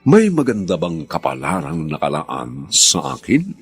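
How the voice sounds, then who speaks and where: A man narrating and announcing a radio drama in a dramatic scripted style, with a gravelly, husky voice. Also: low-pitched, huge pitch swings, a little slow. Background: faint music.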